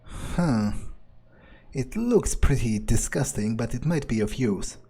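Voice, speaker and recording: A man's voice: mid-range voice, animated, slow pace, vocal fry, dark tone, breathy edge. A man doing in-character roleplay commentary on a video game, musing and thinking aloud. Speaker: gameplay video host.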